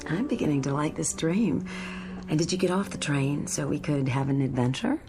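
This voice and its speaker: A lonely American commuter in a radio drama. Her voice is very low for a woman, friendly and tentative with a wistful curiosity, and soft music plays behind her. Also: expressive, normal speed. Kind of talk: modern radio drama acting.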